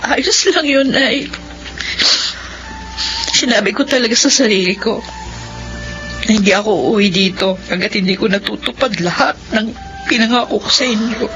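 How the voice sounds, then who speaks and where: A woman's voice: on the high side, normal speed, long pauses, music in the background, close to an everyday voice. Earnest grown daughter in a dull-sounding radio drama, acted by a woman. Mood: reassuring. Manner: earnest, heartfelt delivery. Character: dutiful, tearful grown daughter back from working abroad. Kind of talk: emotional radio drama acting.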